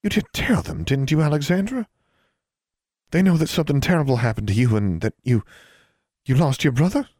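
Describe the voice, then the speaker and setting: A man's voice: medium pitch, expressive, a little fast, long pauses, darkish tone, no echo, clean studio sound, voice pushed up, natural voice. A man voicing a caring, earnest teenage girl in an audiobook, pleading with strong emotion. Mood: anxious.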